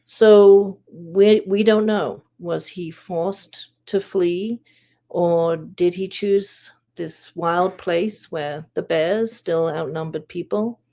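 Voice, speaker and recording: A woman presents prepared research in a measured way, leaving deliberate pauses. Her voice sits fairly low and has a strong gravelly creak. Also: steady tone, dark tone. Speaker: volunteer history investigator presenting research.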